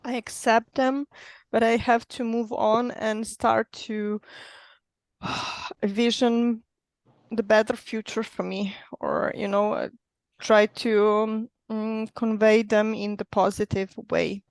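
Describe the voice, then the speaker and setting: A woman from Slovenia with a Slovenian accent, sharing her experience as a coaching client in hesitant, careful English, often pausing to search for words. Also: a little high, flat delivery, dry recording. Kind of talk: personal account in a group coaching session.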